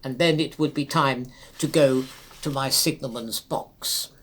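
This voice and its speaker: A man narrating a classic ghost story in a solemn, measured and theatrical manner. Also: mid-range voice, a bit bright. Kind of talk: dramatic literary narration.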